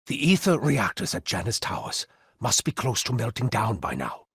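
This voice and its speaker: An actor playing a grave, embittered, scornful man with a gravelly, raspy voice in an intense video game drama. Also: mid-range voice, wildly swooping pitch, normal speed, fairly bright tone, no echo, studio quality, full character voice. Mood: grave urgency. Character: embittered, grave man bent on stopping a foe.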